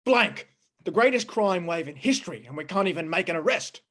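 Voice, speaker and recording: A woman playing an exasperated, bullying police sergeant with a gruff manner, in old-time radio style. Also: lowish voice, animated, brisk, fairly bright tone. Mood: exasperated, hectoring.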